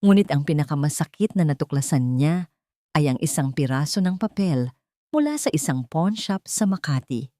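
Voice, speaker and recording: A woman's voice: sits low, big pitch swings, normal speed, mellow, no room sound. A woman narrating a scripted dramatic story in a solemn, emotive storytelling manner.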